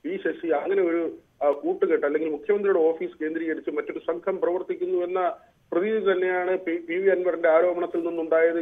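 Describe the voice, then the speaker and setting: A man giving a live political report over the phone, halting with frequent filled pauses. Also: a little high. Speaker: news reporter. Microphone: phone call. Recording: tinny narrow phone line.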